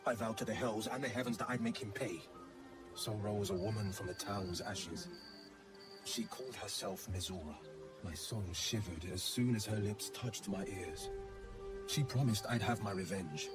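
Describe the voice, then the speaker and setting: An actor voicing an earnest, haunted heroic warlock telling a tale in a brooding way, in a video game. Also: male voice, on the low side, moderate pace, over loud music, close to an everyday voice. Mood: bitter, brooding. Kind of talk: dramatic video game monologue.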